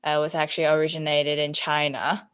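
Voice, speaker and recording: A woman's voice: low-pitched, little pitch movement, brisk, a bit bright, clean tone. A woman in her twenties chatting casually as a podcast guest, informal and self-deprecating in manner. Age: 20s. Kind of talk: casual chat.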